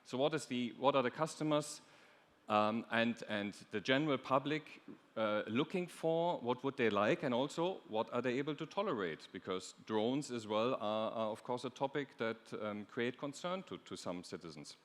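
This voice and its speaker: A man's voice: medium pitch, lively intonation, moderate pace. A man giving a conference presentation as an official, hesitating and repeating words mid-sentence. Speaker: European Commission official, panelist. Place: large conference stage. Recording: echoey hall.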